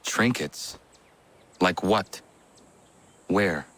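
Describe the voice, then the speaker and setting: An actor playing a stoic, earnest young warrior in a video game, speaking in a terse, formal, measured manner. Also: male voice, low-pitched, fairly bright tone, exaggerated voice. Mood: curious. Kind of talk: video game character acting.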